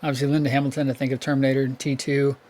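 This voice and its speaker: A hoarse man who is losing his voice, hosting a casual collector vlog, rambling and correcting himself as he thinks aloud. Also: medium pitch, flat delivery, rapid-fire, darkish tone. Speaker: VHS collector channel host.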